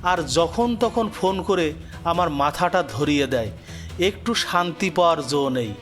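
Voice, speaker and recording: Guy playing a snappy selfish son in a cartoon, high voice, fed up and scornful, bit of music behind. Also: expressive, moderate pace, fairly bright tone. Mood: irritated. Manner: curt, exasperated, scornful. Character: callous, busy, irritable grown son in the city. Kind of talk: melodramatic cartoon voice acting.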